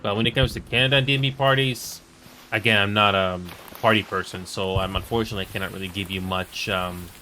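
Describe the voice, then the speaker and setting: Low-voiced Finnish-born man on a noisy stream. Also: fairly even intonation, quick pace, sharp tone. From Finland. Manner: rambling, repeats himself, mutters at the game. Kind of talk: casual live-stream chat while gaming. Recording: noisy background.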